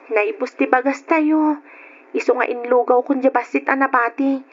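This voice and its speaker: A very high-pitched young Ilocano daughter, played by a woman, dutiful and anxious. She speaks tenderly in the manner of old radio drama. The recording is dull and noisy. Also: steady tone, normal speed, natural voice. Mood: weary resolve.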